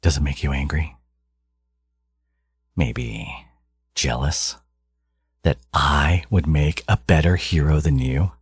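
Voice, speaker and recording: A man playing a smug, possessive supervillain genius in an intimate audio roleplay, taunting his hero in a theatrical, teasing drawl with a gravelly, husky voice. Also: a bass voice, slow pace, pauses often, dry recording, exaggerated voice.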